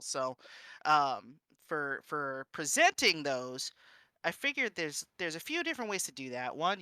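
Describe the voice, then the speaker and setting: A man presenting as a streamer in an informal instructional style, halting and often interrupting himself with "you know". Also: fairly high pitch, pushed and bright, clear, resonant tone, dry recording.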